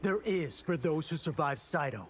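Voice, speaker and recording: Man as a cocky, higher-voiced young fighter. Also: expressive, normal speed, music in the background, lightly acted. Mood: cocky, wry. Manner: casual, wry, quippy. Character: cocky, wry young male human fighter. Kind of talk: video game fight dialogue.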